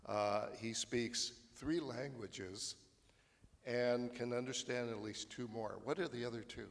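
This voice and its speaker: A man's voice: mid-range voice, steady tone, measured pace, gravelly. A man moderating a conference panel, introducing the next speaker in a jokey, informal way. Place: large auditorium. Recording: long hall reverb.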